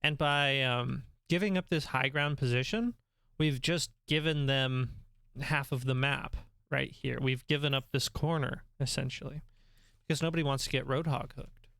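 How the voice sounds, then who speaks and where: A man's voice: mid-range voice, fairly even intonation, moderate pace, creaky, a bit bright, clean tone, no echo. Dry, close-miked male game coach. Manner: conversational and instructive, many ums. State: slightly nasal, voice going. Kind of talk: reviewing a game replay.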